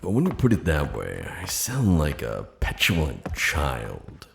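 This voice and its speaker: A man playing a suave, skeptical demon buyer in an ASMR audio roleplay, with a deep voice and a sardonic, halting manner. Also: wildly swooping pitch, gravelly, noisy background, exaggerated voice. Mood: sardonic, intrigued. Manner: flirty, sardonic, hesitant pauses and drawn-out words.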